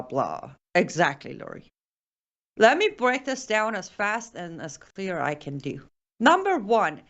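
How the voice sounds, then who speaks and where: A woman's voice: medium pitch, lo-fi sound. A woman hosting a political commentary show, making her case point by point with pointed sarcasm. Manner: sarcastic, emphatic, building point by point. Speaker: host.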